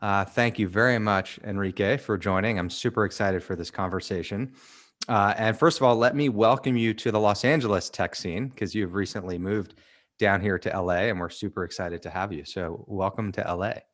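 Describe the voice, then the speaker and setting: Lowish male host running a chat. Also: normal speed, a bit bright. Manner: friendly, prompts with questions, fillers. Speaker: host, interviewer. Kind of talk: hosted fireside chat. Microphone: video call.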